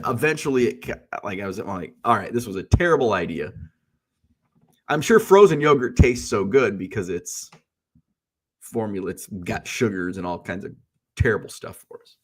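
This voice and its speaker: A stuffy, congested man hosts a casual live stream, rambling from one tangent to the next with an easy joking manner. His voice sits a bit low for a man. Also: sing-song, normal speed, slightly rough. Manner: chatty and joking, wanders off on tangents. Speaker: host of a daily live stream. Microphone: streaming setup.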